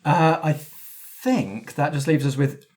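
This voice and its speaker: A man's voice: fairly high pitch, slow, very noisy. A man acting as game master, casually guiding a group discussion of their tabletop game.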